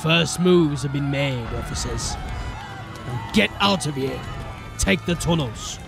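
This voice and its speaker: A menacing criminal boss in a fantasy audio drama, with a gravelly voice and unhurried, swaggering delivery. He taunts and mocks over clear background music. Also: fairly high pitch, lively intonation, exaggerated voice. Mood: scornful.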